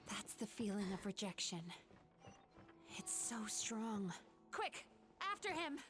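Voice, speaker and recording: A woman playing a gentle, earnest dream healer in a video game, with a caring, composed manner. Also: mid-range voice, sing-song, slow pace, over music. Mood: urgent.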